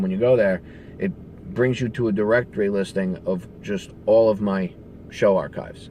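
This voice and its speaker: A man's voice: lowish voice, dark tone. Emphatic ranting man over background noise. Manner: emphatic, conversational, profane. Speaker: radio show host. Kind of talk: casual political rant to camera.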